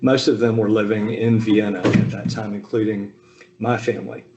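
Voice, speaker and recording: Man in his fifties, lowish voice. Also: normal speed, lo-fi sound, noisy background. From Southern US. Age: 50s. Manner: relaxed, with frequent ums and self-deprecating asides. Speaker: speaker at a Zoom talk. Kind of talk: personal family history talk. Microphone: video call.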